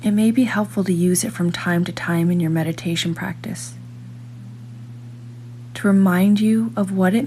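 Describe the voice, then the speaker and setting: A woman reads a guided meditation in a soft-edged, mellow tone that sits a little low. She is calm and measured, leaving long pauses between phrases. A faint noise and hum runs behind her voice. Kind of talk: guided meditation, scripted narration.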